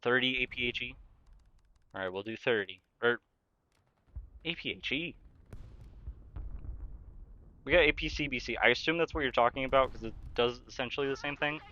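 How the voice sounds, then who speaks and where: A man's voice: medium pitch, fairly quick, sharp tone, no room sound, muffled recording. A young man livestreaming a game, chatting casually, reading out chat questions and answering them while he talks through his play. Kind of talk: casual live-stream chat while gaming.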